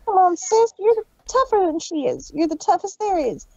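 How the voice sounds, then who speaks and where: A woman's voice: very high voice, expressive, moderate pace, dark tone, highs cut off. Woman doing an eager little filly. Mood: cheering. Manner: cheering, eager. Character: spirited young filly pony, little sister.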